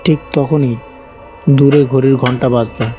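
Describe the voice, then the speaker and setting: A man's voice: medium pitch, little pitch movement, a little slow, lo-fi sound. A man narrating a scripted first-person horror story as the channel's host. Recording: faint music bed under narration. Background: faint music.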